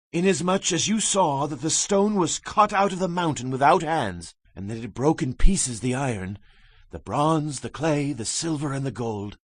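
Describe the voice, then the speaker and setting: A man's voice: on the high side, fairly bright tone, dry recording. An actor playing a solemn male prophet, declaiming emphatically in a dramatized scripture reading. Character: Daniel, male prophet solemnly interpreting a king's dream.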